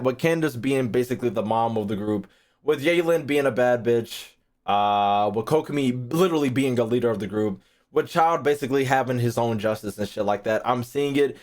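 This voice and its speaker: Rambling, slangy man streaming. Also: medium pitch. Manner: rambling, repeats phrases, trails off mid-thought; slangy, heavy swearing. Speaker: gaming streamer, YouTuber. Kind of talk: casual live-stream commentary, thinking aloud.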